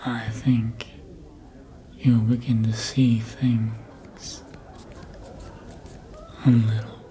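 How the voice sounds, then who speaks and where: A performer voicing a seductive, calculating vampire mentor in a slow, deliberate, intimate whisper for an ASMR roleplay. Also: mid-range voice, level tone, mellow, poor audio. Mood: coaxing, admiring.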